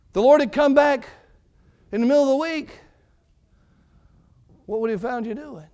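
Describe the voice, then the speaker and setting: A man in his forties preaching a fervent sermon as pastor, building on repeated phrases and swinging between quiet and loud passages. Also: very high voice, normal speed, clean tone. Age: 40s.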